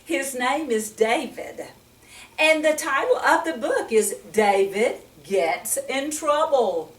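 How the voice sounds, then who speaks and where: An older woman hosts a children's storytime with an unhurried, very expressive delivery. She speaks like a gentle teacher, often putting questions to her young listeners. The sound is echoey and picked up from some distance. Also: sits high. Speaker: children's story reader and host. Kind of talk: storytime talk to young children with Bible lesson. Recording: roomy echo, distant microphone.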